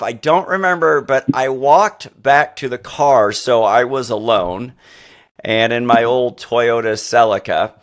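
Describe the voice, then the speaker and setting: A middle-aged man hosting a podcast interview, a former teacher who tells rambling, animated stories full of restarts. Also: medium pitch. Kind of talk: conversational interview.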